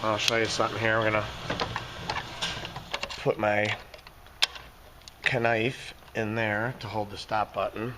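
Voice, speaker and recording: A hoarse man giving a casual, folksy walkthrough of his garage door repair work. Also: lowish voice, flat delivery, slow pace, pauses often, noisy background. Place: underground parking garage. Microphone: handheld phone camera.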